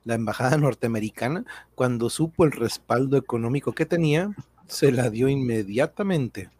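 A man's voice: mid-range voice, expressive, normal speed, a little mellow, husky, no room sound. A man reading scripted narration for a radio drama. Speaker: narrator and reader.

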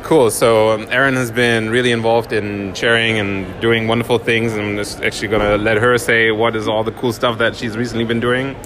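A man's voice: fairly low pitch, level tone, brisk, few pauses, crowd noise. A man hosting a podcast, asking short, upbeat interview questions. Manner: brief, upbeat prompts. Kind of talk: casual interview.

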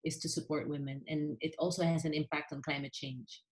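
A woman's voice: sits low, steady tone, fairly quick, darkish tone. A middle-aged woman from the Philippines, a podcast guest who talks in an earnest, enthusiastic conversational way with many fillers. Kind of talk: conversational interview.

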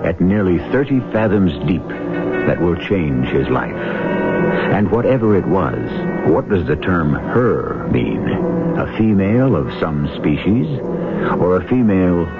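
A radio mystery storyteller with a very low, creaky voice. He narrates deliberately and theatrically, swooping for suspense, over loud music on a narrow old recording. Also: big pitch swings, full character voice. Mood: intriguing. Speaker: host and narrator.

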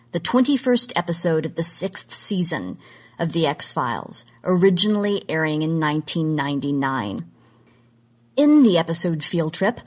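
A woman presenting a scripted podcast segment on genre history in an articulate, emphatic, lecture-like manner. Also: on the low side.

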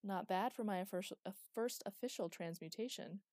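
A woman as a gentle grieving young woman. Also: mid-range voice, normal speed, no echo, studio quality, lightly acted. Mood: tender, grave.

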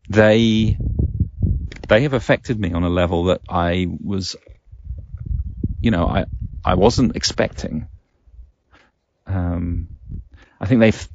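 Male podcast host, very low register with creaky fry, hesitant and reflective, slightly dull home recording. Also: darkish tone. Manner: hesitant, thinking aloud in halting phrases. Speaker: podcast host and game master. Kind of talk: reflective solo podcast monologue, casual.

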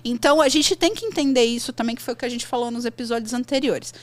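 A Brazilian woman in her thirties hosting a podcast, talking in an animated, chatty and slangy way. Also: a little high, quick pace. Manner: animated, chatty, slangy, jumps between thoughts. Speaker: podcast host, English teacher. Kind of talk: casual podcast chat.

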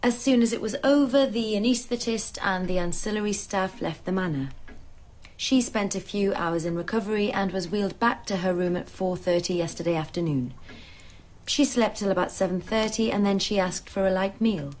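An actress playing a professional nursing sister in a radio drama, speaking in a composed, clinical and precise manner. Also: female voice, medium pitch, normal speed, darkish tone, lightly acted, rough recording.

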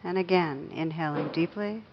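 A woman with a fairly low voice leads a guided meditation. She speaks gently and takes her time, leaving long pauses between short phrases. The recording is a bit muffled, with faint noise behind her. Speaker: meditation teacher.

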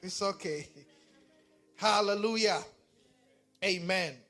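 A man's voice: high voice, slow, faint music behind. A man from Ghana with a Ghanaian accent, preaching a sermon and talking back and forth with his congregation. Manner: animated, talks with the congregation. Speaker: pastor.

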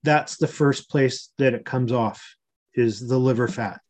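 A man in his early sixties, an interview guest, explaining health matters informally in a measured way. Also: medium pitch, a little mellow.

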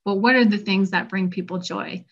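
A middle-aged woman explains stress and hormones in a conversational way, her voice a bit strained because she is losing it. She keeps a fairly flat, even delivery at a middle pitch. The recording sounds dull and lo-fi. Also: normal speed. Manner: conversational, acts out patient quotes. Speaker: health practitioner. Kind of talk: informal health presentation. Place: Colorado.

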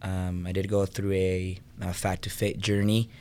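A man's voice: sits low, little pitch movement, unhurried, darkish tone, slight background noise. A young man from Venezuela, a guest on a podcast, talking casually about his life with lots of fillers. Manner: personable, many fillers. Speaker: guest, fitness business co-owner. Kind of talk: casual interview chat.

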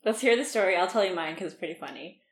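A woman's voice: on the low side, racing, reverberant, slight background noise. A woman as a podcast guest in casual chat, candid and laughing easily.

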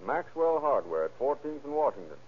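An actor playing a streetwise, eager, husky-voiced police detective in an old-time radio crime drama. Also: male voice, medium pitch, very animated, brisk. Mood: brisk, matter-of-fact. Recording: narrow, tinny vintage radio recording.

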